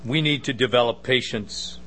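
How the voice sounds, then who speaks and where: A middle-aged man with a big booming voice preaching a sermon, emphatic with conversational asides. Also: normal speed, a bit bright, a little hiss or hum.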